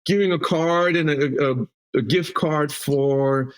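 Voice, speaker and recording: A man's voice: on the high side, takes it slowly. A man from Mexico, a roofing company CEO guest, talking loosely with frequent fillers and restarts. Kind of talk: casual interview answers.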